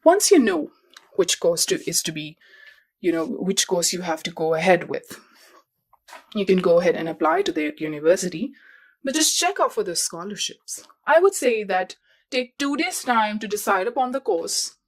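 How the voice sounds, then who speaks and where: A woman's voice: mid-range voice, very animated, moderate pace. A young woman hosting a podcast who explains things step by step in a repetitive way and talks straight to her listeners. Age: young adult. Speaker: podcast host. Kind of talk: advice talk.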